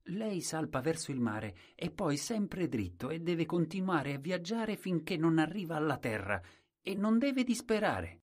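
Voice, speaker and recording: A man's voice: a little high, big pitch swings, measured pace, pitched above the performer's own voice. A man voicing a humble, simple-minded young man at a royal court, speaking meekly and crying out eagerly. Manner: soft, humble, wide-eyed replies, cries out eagerly.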